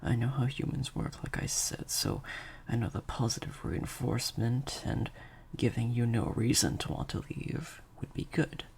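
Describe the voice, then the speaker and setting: A man playing a slightly sadistic, controlling vampire master in an intimate improvised audio roleplay, hushed and deliberate, with long pauses. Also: mid-range voice, fairly even intonation, a little hiss or hum, close to an everyday voice. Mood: controlled, threatening. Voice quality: breathy, creaky half-whisper. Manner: slow, deliberate, hushed delivery with drawn-out pauses.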